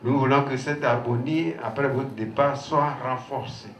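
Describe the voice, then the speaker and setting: A man giving formal public remarks in a slow, deliberate manner. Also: mid-range voice. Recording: echoey and distant with faint noise.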